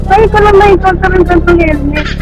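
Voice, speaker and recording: A woman's voice: very high voice, brisk, lo-fi sound, music in the background. A woman chatting casually and apologetically as a motorcycle taxi passenger, through a helmet intercom. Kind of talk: casual chat. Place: outdoors on a moving motorcycle taxi. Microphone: helmet intercom microphone. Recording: noisy helmet intercom with vehicle noise.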